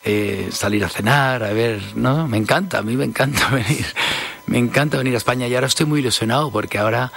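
A man's voice: mid-range voice, fast, creaky, a bit bright. A man in his fifties from Spain, a singer giving an interview, talking casually and hesitantly, often repeating words. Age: 50s.

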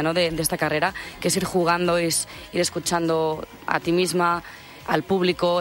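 Chatty Catalan-born woman singer. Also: lowish voice. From Catalonia, Spain. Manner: chatty, reflective, many fillers. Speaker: singer guest. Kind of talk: radio interview. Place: radio studio.

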